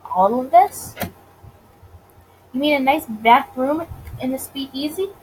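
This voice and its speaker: A smooth, teasing gangster charmer from a visual novel, played by a woman. The voice sits high and swings playfully in pitch, composed and mischievous, with clear background noise. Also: expressive, slow pace, darkish tone, rough recording. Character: suave, playful male mobster with a sweet tooth. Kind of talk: visual novel character reading.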